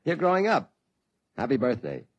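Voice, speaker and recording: Brisk teasing dad, husky, lively intonation, wry, hearty, fifties radio acting. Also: male voice, mid-range voice, muffled recording. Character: brisk, teasing father rousing his son.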